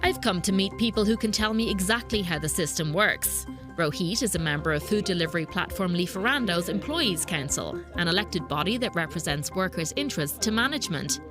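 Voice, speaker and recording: Lady reporter doing voice-over narration, steady and explanatory, music running under it. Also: on the low side. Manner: measured, explanatory. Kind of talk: scripted news narration.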